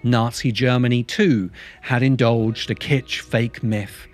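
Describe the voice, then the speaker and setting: A middle-aged man narrating a scripted video essay in measured, emphatic, deliberate phrases, close to the microphone. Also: medium pitch, fairly even intonation, faint music behind. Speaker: host of a science fiction video essay channel. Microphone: large microphone close in front of him.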